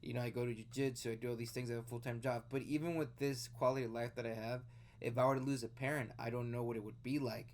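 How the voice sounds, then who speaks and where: A man's voice: mid-range voice, fairly even intonation, quick pace. A man in his late twenties talking through a news story in casual, rambling commentary, full of fillers and restarts. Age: 20s. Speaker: video host reacting to news.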